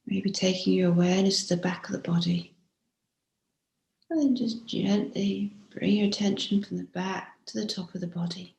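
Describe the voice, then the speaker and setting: Echoey room, woman doing a body scan meditation, soft n gentle, bit of fry, lots of pauses, breathy endings. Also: medium pitch, steady tone. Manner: gentle, unhurried, with frequent pauses. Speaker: meditation teacher. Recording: roomy, echoing room sound.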